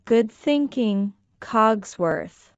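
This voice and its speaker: A woman language teacher slowly repeating scripted lines for shadowing practice, enunciating each one with care. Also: fairly high pitch, animated, dull audio, music in the background. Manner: careful, deliberate enunciation.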